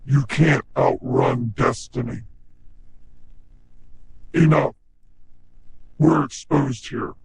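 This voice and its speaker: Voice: huge pitch swings, drawn-out words, creaky, pauses often, a little hiss or hum, heavily acted. An actor playing a grim, commanding male cyborg in dramatic game-style lines, clipped and ominous, with digital robotic effects on the voice. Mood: grim, menacing. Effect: robotic digital pitch-shifted, chorus cyborg processing. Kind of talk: dramatic game character line reading.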